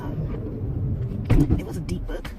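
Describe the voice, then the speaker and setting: A woman giving a casual, unscripted book review in a halting, conversational way, searching for words as she goes. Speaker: book club president, reviewer. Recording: noisy, distant mic, car and outdoor ambience.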